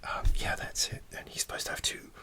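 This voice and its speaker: A man softly whispering a rambling ASMR story, with many fillers and restarts. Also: fairly quick. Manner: soft, relaxed whisper throughout. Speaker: ASMR creator.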